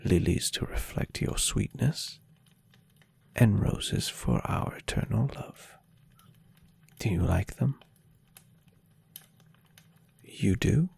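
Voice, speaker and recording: Man acting as adoring centuries-old vampire boyfriend, gravelly fry, slow pace, soft ASMR roleplay, tender and teasing. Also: fairly low pitch, animated, heavily acted. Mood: tender, affectionate. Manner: tender, breathy, unhurried, softly teasing.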